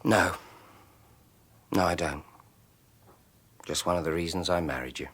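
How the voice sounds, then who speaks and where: An actor playing an obsessive, sardonic scientist with a British accent in a radio drama, speaking tersely and intensely. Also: male voice, deep voice, measured pace, a bit bright, breathy edge, exaggerated voice. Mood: guarded. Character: obsessive scientist husband, brooding, bitterly sardonic new father. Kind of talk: 1980s radio drama acting.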